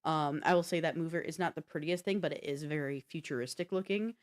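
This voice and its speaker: A woman's voice: low-pitched, quick pace. A woman co-hosting a casual tech and games chat, speaking informally and thinking aloud with some slang. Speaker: podcast co-host.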